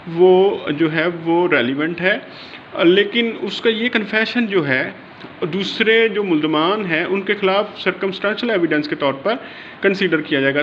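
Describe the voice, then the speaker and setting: A man with a Pakistani accent giving an informal exam-prep law lecture in an explanatory way, slowing haltingly over formal English wording. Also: high-pitched. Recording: dull lo-fi sound, faint background noise.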